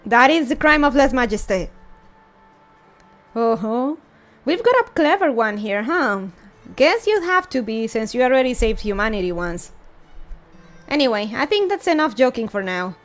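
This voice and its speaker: A woman's voice: on the high side, big pitch swings, normal speed, pauses often. A woman reading a game story aloud as a grown-up male sage who is wry, mean and teasing. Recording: music behind the voice.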